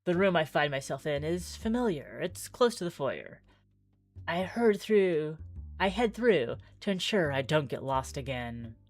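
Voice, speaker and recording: Low-voiced woman streaming a visual novel. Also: big pitch swings, unhurried, faint music behind. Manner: theatrical reading mixed with chatty asides. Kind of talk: reading game text aloud with casual live commentary.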